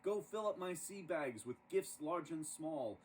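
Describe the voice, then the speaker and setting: A man voicing a towering, jolly military sergeant playing Santa in a storybook, calling out in a loud, commanding way. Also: expressive, measured pace. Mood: rousing command. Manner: commanding, booming calls. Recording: distant microphone with clear background noise.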